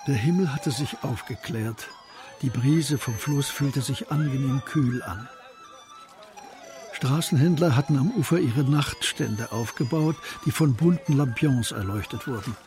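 A man narrating a scripted radio drama with a very husky, breathy voice. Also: mid-range voice, measured pace, music in the background.

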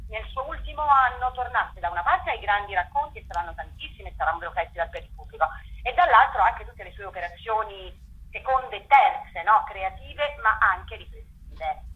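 A woman's voice: medium pitch, lively intonation, normal speed, phone-quality sound. A woman theatre curator in a radio interview, talking in a rambling way, repeating words and using many fillers.

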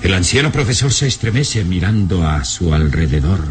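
A man's voice: sits low, sing-song, normal speed, soft background music. A man narrating an adventure radio drama as a solemn storyteller, in a dramatic scripted style. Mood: solemn, suspenseful.

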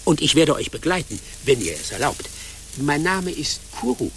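Wry friendly fox, crackly voice, hugely varied pitch, radio play, slight background noise. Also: male voice, very animated, moderate pace, creaky, fairly bright tone, heavily acted. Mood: playful, reassuring. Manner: playful, reassuring. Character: friendly, wry, clever male fox guide. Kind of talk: German radio play acting.

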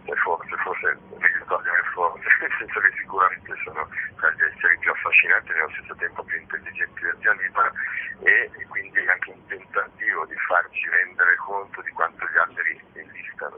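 A man being interviewed as a festival organiser, explaining things informally and discursively, often correcting himself. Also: low-pitched. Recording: muffled noisy phone line.

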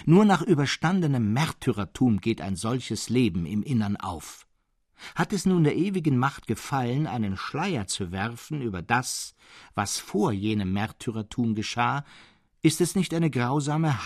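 Husky, serenely insistent mad hermit, voiced by a man. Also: mid-range voice, unhurried, dry recording, studio quality. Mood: serene, admonishing. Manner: calm, eloquent, insistent, with rhetorical questions. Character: serene, mad male hermit believing himself a martyred monk. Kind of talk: dramatic literary audiobook reading.

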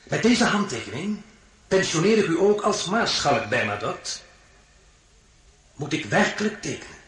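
An imperious French emperor in a radio drama. His voice sits rather high and leaps about theatrically as he commands and mocks. The sound is roomy, with faint noise behind it. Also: sing-song, measured pace, a bit bright. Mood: stern.

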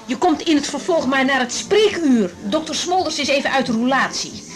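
Young female doctor, high voice, brisk, exasperated, dutiful, radio drama, music in background. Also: expressive. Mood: weary, irritated. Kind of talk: Dutch 1980s radio drama acting.

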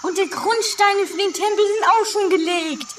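Female performer as curious, chatty, flighty lady, very high piping voice, old amateur radio play with faint background noise. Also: moderate pace, a bit bright. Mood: gushing. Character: gushing, flighty, curious, easily unsettled lady. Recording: distant, faintly noisy amateur 1980s recording.

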